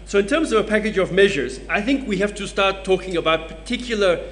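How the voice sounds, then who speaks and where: A man's voice: high-pitched, brisk, fairly bright tone. A man on a conference panel, explaining his points through case studies. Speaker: panelist presenting research.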